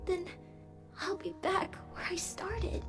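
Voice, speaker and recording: An anxious young female pony princess, voiced by a woman in a fan audio drama, worrying aloud and doubting herself. Her voice is extremely high and unhurried. Music swells behind her. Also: fairly even intonation, exaggerated voice. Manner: worried, self-doubting.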